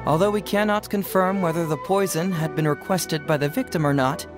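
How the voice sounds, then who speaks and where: A man's voice: fairly high pitch, fairly even intonation, fairly quick, music in the background, close to an everyday voice. Man voicing light-voiced, sly young detective. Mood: explaining, sly. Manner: explanatory, teasing, self-assured. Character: young male detective, brainy, confident, playfully sly. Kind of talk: video game voice acting.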